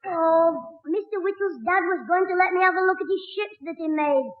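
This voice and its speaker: A performer playing a cheeky, quick-witted young schoolboy with a Northern English accent in a 1960s radio sitcom, full of wisecracking backchat. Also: very high-pitched. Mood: eager, defiant. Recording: roomy, tinny old radio broadcast recording.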